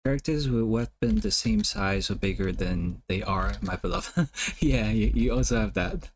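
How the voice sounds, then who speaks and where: Male streamer, slightly low-pitched, relaxed and hesitant, restarts phrases while critiquing art. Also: slight background noise. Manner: hesitant, halting, repeats words while thinking. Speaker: artist streamer giving art feedback. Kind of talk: casual live-stream chat.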